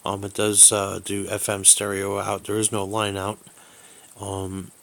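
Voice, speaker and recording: A man's voice: fairly low pitch, monotone, a little slow, a bit bright. A man giving a casual, unscripted gadget review in a rambling, hesitant way, often searching for words. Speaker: amateur radio hobbyist reviewing gear. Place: small bedroom indoors.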